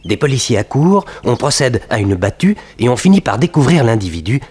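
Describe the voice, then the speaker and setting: A man narrates a vintage radio thriller. His mid-pitched voice moves with lively intonation. The delivery is scripted and dramatic, built for suspense. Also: fairly quick, husky.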